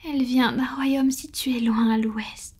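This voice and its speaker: A woman acts out a centuries-old female vampire in love, in an ASMR roleplay. Her voice is very breathy and whispered, sitting a little high. She speaks slowly and intimately, with a vulnerable, hesitant tenderness. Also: steady tone, darkish tone, exaggerated voice. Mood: hesitant, confessing.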